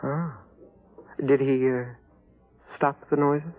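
An actor playing a scheming double posing as a loving husband in a classic radio drama, suave with calculating pauses. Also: male voice, medium pitch, steady tone, dry recording, natural voice. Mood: guarded, menacing. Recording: tinny old radio recording.

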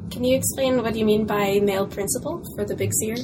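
A woman with a medium-pitched voice acts as interviewer. She speaks conversationally, setting up her questions for the guest. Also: fairly even intonation, a little fast, background noise.

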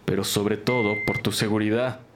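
Guy acting a cautious firm doctor, lowish voice, matter-of-fact, beeps and noise behind. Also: steady tone, moderate pace, natural voice. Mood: firm, cautioning. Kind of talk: radio drama acting. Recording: beeping hospital monitors, clear background noise.

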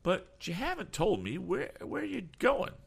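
A man voicing an eccentric, excitable older gentleman from a storybook, full of blustery exclamations and astonished questions. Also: high-pitched, a little slow, gravelly, husky, pitched above the performer's own voice, exaggerated voice. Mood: eager, curious. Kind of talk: audiobook character voice.

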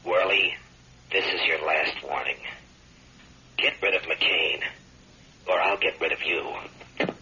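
Casting: cold anonymous threat-maker for radio drama, drawn-out delivery, piercing tone, over a tinny phone. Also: male voice, sits low, bright, forceful tone, full character voice. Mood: menacing. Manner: slow, threatening. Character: menacing anonymous male caller threatening death, cold and slow. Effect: telephone answering tape filter. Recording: tinny telephone tape, narrow band.

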